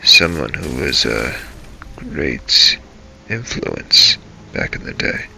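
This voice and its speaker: A man tells a first-person adventure story over gameplay. His voice is very bright and forceful with heavy fry, and he moves slowly, in broken phrases. There is faint noise behind him. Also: very deep voice, very animated, faint music behind, poor audio. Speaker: video maker narrating a game role-play.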